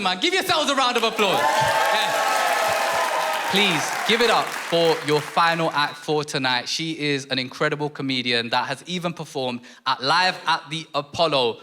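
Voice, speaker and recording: A man's voice: on the high side, expressive, moderate pace, heavy background noise. A man hosting a live comedy show, introducing acts and teasing the crowd. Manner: upbeat, teasing crowd banter. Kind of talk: live compering. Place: large theatre with live audience. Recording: stage mic in theatre. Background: audience laughter and cheers.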